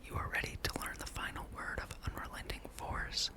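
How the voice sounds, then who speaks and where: Someone reading as a grave old fantasy monk, all whispered, breathy, formal and solemn. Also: a little fast, close to an everyday voice. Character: grave old monk mentor reciting ceremonial teachings. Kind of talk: whispered read-aloud of fantasy game dialogue.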